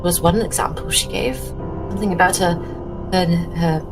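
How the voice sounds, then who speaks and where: A woman playing a genteel, anxious, soft-hearted lady in a tabletop horror game, with a polite, hesitant, fretful way of talking. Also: lowish voice, fairly even intonation, moderate pace, over music, natural voice, poor audio. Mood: uneasy.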